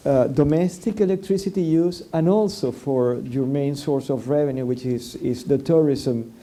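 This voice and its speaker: A man's voice: medium pitch, moderate pace, warm tone. A man from Venezuela speaking on a public forum panel, hesitant, with many fillers and restarts; Spanish is his first language.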